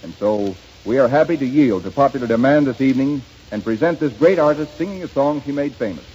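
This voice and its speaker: A man's voice: medium pitch, lively intonation, fairly quick, a little mellow, muffled recording, a little hiss or hum. A man announcing in a polished, declamatory style on a 1930s radio broadcast. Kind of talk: formal scripted introduction.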